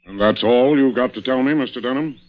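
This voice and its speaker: An actor playing a shrewd, brisk, sardonic police inspector in an old-time radio suspense drama. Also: male voice, mid-range voice, darkish tone, close to an everyday voice, rough recording. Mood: hard-nosed. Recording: vintage radio broadcast recording.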